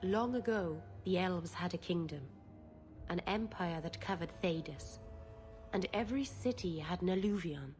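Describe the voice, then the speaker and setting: A woman acting a gentle, naive young elf mage in a video game, earnest and a little halting. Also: fairly low pitch, faint music behind, lightly acted. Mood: solemn.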